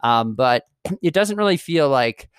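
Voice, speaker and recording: Smooth-voiced chatty man, dry close mic. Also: medium pitch, lively intonation, measured pace, dry recording. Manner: chatty, digressive, self-correcting. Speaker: podcast host. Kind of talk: rambling solo podcast talk. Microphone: studio mic.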